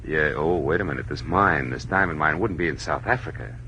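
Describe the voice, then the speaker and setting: A man's voice: very deep voice, brisk, bright, forceful tone, slight background noise, heavily acted. A man acting a tough, sardonic American private detective in 1940s radio drama style, with clipped hard-boiled delivery. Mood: skeptical, sardonic. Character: hard-boiled wisecracking private detective, narrating his own case. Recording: old radio recording with music.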